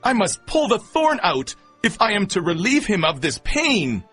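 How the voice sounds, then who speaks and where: A man's voice: sits high, moderate pace, breathy edge, full character voice. A man playing a timid, kind-hearted runaway slave in a children's cartoon, earnest and exclamatory. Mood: worried pity. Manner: exclamatory, over-expressive. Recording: music underneath.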